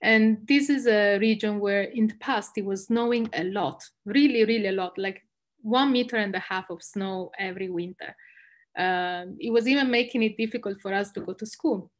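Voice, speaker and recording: A woman's voice: mid-range voice, normal speed. A young woman from northern Italy with an Italian accent, explaining as a guest speaker on an online panel. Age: young adult. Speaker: guest speaker, environmental law specialist. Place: indoors, room at home. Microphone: video call, laptop distance.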